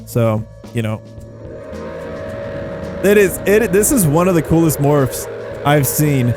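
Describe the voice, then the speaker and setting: Excitable higher-voiced man doing game commentary. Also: slow, poor audio. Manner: excitable, exclaiming, slangy. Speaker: video game YouTuber and host. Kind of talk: casual gameplay commentary. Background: background music.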